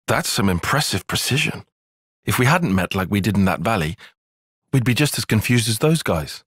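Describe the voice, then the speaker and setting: Precise young British tactician. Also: male voice, on the low side, big pitch swings, a bit bright, husky, no room sound, exaggerated voice. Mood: calm, reasoning. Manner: measured, formal, articulate, dry. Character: young male tactician soldier, bookish, cautious, precise, exasperated. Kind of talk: video game cutscene voice acting.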